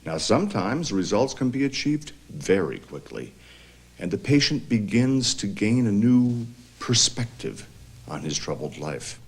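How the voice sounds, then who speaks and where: An actor narrating a dark comedy as a wry, mocking storyteller in a scripted style. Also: male voice, fairly low pitch, sing-song, measured pace, breathy edge, full character voice.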